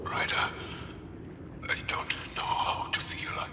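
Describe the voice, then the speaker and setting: An actor playing a grave alien male warrior companion who speaks in a slow, halting, troubled way. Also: close to an everyday voice. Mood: torn. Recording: hollow reverberant game audio with hiss.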